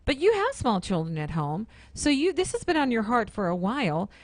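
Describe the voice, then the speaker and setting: A woman's voice: on the high side, very animated, brisk, no room sound. A woman co-hosting a radio talk show from the studio, chatty and teasing with her guest. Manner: teasing, joking. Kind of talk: casual radio chat.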